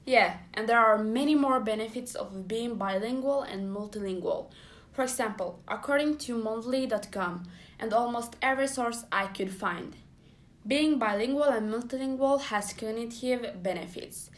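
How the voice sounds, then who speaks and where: A young woman from Somalia reads prepared notes on a student podcast. Her voice is slightly high. She stops briefly between phrases. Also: moderate pace. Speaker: podcast co-host. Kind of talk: student podcast, partly read.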